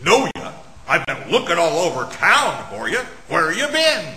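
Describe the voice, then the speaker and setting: A man's voice: a little high, wildly swooping pitch, moderate pace, crowd noise. A man playing a blunt, folksy small-town policeman. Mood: concerned. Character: gruff, good-natured small-town cop. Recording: echoey, loud music behind.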